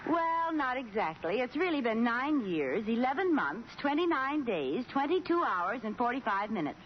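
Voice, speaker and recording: An actress playing an excitable, scheming young wife in a theatrical, exaggerated vintage radio sitcom style. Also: female voice, fairly high pitch, moderate pace. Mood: playful, hopeful. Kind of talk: 1940s radio sitcom acting. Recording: dull, noisy vintage radio recording.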